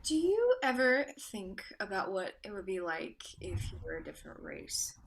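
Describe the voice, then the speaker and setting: A woman's voice: mid-range voice, big pitch swings, moderate pace, rough recording. An actress in a staged play reading, playing an earnest young woman who teases back in banter and speaks sincerely when serious. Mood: somber, earnest. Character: earnest young woman, the lawyer's sparring friend.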